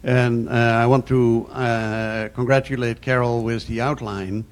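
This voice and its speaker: An older man with a Dutch accent and a mid-pitched voice addresses a conference. He is formal and measured, pausing haltingly between phrases as he frames his comment. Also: little pitch movement, clean tone. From Netherlands. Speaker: audience member, committee chairman.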